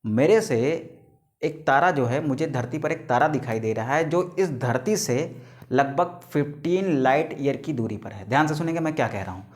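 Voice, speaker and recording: A man's voice: mid-range voice, expressive. A man in his thirties teaching biology, who calls his students "beta", asks them questions and answers them himself, and stretches terms out word by word. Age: 30s. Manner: stretches key English terms out slowly, word by word. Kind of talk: classroom lecture to students.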